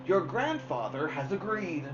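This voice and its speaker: A woman voicing a stern, solemn elderly grandmother in a children's story, speaking gravely and deliberately. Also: low voice, big pitch swings, noisy background. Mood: firm, explaining. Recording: dull lo-fi sound, music behind.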